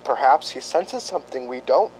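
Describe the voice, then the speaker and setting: A man's voice: medium pitch, lively intonation, normal speed, darkish tone, a little hiss or hum, lightly acted, poor audio. A man playing a solemn, earnest ghost-hunting doctor in an amateur radio drama, speaking in a formal, pedantic, grave manner. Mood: knowing. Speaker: paranormal investigator.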